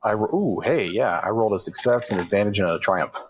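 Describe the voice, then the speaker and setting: A man's voice: fairly low pitch, fast talker. A man chatting casually as a player in a tabletop role-playing game, describing his moves. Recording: music behind the voice. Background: music.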